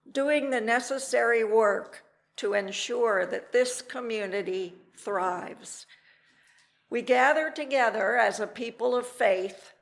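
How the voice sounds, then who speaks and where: Woman reading a church reading out loud, slow, lots of vocal fry. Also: a little high. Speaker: lay reader at worship. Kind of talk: reading aloud a liturgical text.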